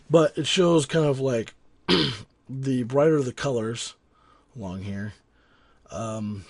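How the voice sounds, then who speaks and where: Raspy-voiced man with a cold. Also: fairly low pitch, a little mellow, no echo. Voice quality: husky. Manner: rambling, lots of ums and restarts, offhand. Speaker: sound engineer teaching a tutorial. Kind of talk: casual screen-recorded software tutorial.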